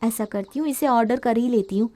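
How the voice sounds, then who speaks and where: A woman voicing a young, modern daughter-in-law, chatty, impatient and quick to complain, in a family moral story. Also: a little high, dark tone, natural voice. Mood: wishful.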